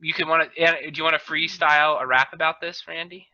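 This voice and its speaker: A man's voice: on the high side, steady tone, fast talker, bright, forceful tone. A man hosting a podcast in casual, teasing comedic banter over a dull-sounding video call. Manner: teasing, joking. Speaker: podcast host.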